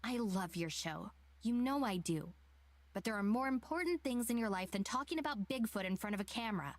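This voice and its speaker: Actress as deadpan, blunt young woman, dry sound. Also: mid-range voice, brisk, no echo, natural voice. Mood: firm, blunt. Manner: deadpan, matter-of-fact. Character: blunt, stoic, caring woman, flat and reserved. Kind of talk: visual novel voice acting.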